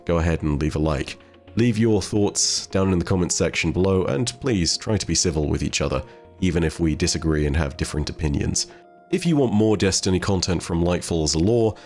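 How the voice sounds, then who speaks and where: A man's voice: sits low, a little mellow. A man hosting a gaming lore video, talking to viewers in a conversational commentary style. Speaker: host of a game lore video channel.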